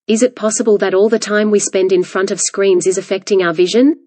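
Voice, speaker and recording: A woman's voice: mid-range voice, steady tone, brisk, mellow, no room sound. Woman reading aloud, close dry recording. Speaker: exam practice model reader. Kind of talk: read-aloud scripted narration.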